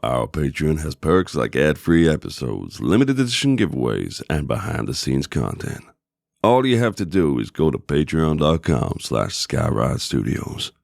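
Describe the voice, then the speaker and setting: An actor playing a gruff, grumpy old mercenary mentor in an audio drama, teasing and gravelly, with a fatherly streak. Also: rumbling low, sing-song, normal speed, full character voice. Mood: promotional. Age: older.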